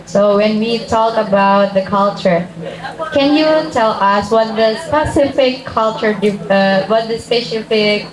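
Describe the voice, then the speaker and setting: A woman's voice: mid-range voice, a little slow, reverberant, a crowd in the background, poor audio. A woman hosting a school session and asking a guest speaker questions. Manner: prompting questions. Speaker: host. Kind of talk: interviewing a guest. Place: school hall.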